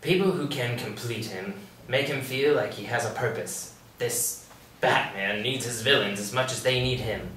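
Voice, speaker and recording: A man reading the part of a calm, composed male asylum doctor at a table read, in a measured clinical way with dry jabs. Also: medium pitch, natural voice. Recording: roomy echo, distant mic, faint hiss.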